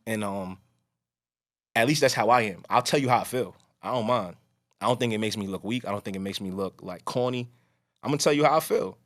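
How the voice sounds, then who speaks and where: A man's voice: fairly low pitch, fairly quick. A man hosting a podcast, talking casually with a strong voice, rambling and restarting his sentences. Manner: loose, rambling, with many restarts. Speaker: podcast host. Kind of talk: casual conversation.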